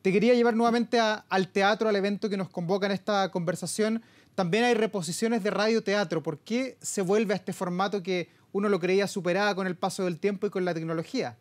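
Fast, high-pitched Chilean male news anchor. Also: steady tone. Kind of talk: broadcast news interview. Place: television news studio.

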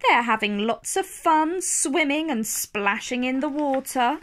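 A high-pitched woman reads a children's story aloud. Her delivery is slow and very animated, with careful wording for young listeners. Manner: expressive, clearly enunciated for young children.

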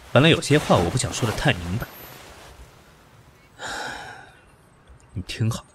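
A man playing an indignant, sharp-tongued boss in a modern audio drama, curt and scornful. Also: medium pitch, husky. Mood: stern, cold.

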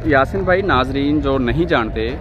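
A man interviewing a trader in a casual outdoor chat, asking brisk questions and agreeing often. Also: medium pitch, animated, muffled recording. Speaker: interviewer, channel host. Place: outdoor livestock market. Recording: outdoor market with crowd noise. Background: crowd at a busy market.

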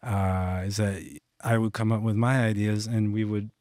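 A man's voice: lowish voice, flat delivery, normal speed, a little mellow, no echo, studio quality. A man from Canada, a band member in an interview, rambling and restarting his phrases. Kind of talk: casual interview chat.

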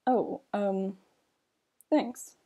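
Casting: female actor as hesitant guild mage for game roleplay, mellow, relaxed tone, mid pitch, halting delivery. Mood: subdued. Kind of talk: improvised fantasy game roleplay.

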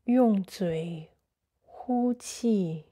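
A woman narrating a guided sleep meditation in a soothing, measured way, in short phrases with pauses. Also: mid-range voice, mellow, breathy edge.

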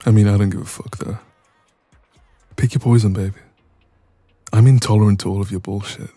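A man playing a dominant, cocky young ex-boyfriend in an intimate audio roleplay, soft and breathy, smug and casual, close to the microphone. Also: fairly low pitch, steady tone, a little fast, no echo, faint music behind. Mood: smug, irritated.

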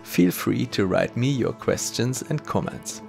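A man's voice: mid-range voice, expressive, measured pace, gravelly, darkish tone, soft background music. A man with a German accent narrating a scripted electronics tutorial step by step. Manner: methodical step-by-step explaining. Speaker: maker channel host.

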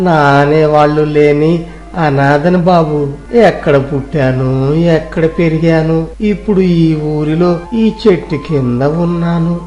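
A man's voice: on the high side, mellow, highs cut off, close to an everyday voice. A man plays a forsaken old village beggar, shivering and lamenting in a moral tale. The plaintive voice rings with room echo. Music runs faintly underneath. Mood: pitiful. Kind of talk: acted audio moral story. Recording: echoey room sound, music behind.

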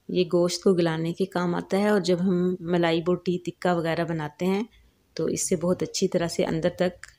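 Low calm woman teaching a recipe. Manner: calm, instructive, explains each step. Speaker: cooking channel host. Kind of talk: step-by-step recipe voice-over. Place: home kitchen.

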